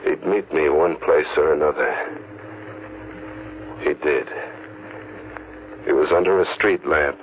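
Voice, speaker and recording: An actor playing a haunted, guilty fugitive, nervy and defensive, in hardboiled 1940s radio noir style. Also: male voice, very deep voice, takes it slowly, long pauses, phone-quality sound, heavily acted. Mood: haunted narration. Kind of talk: 1940s radio noir acting with first-person narration. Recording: noisy, thin old-time radio recording.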